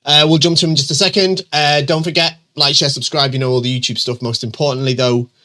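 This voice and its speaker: A man's voice: a little high, steady tone, quick pace, pushed and bright. A middle-aged man hosting a show and answering viewer questions in casual chat, his voice stuffy and bunged up from a cold, with lots of ums and restarts. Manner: chatty, many ums and restarts, reads questions aloud.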